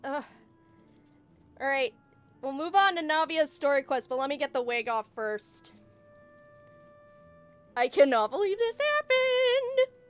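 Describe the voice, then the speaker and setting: A woman chatting casually and a bit scatteredly to viewers as she livestreams a game, excitable in manner. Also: high-pitched, unhurried, pauses often, faint music behind. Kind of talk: casual livestream chat while gaming.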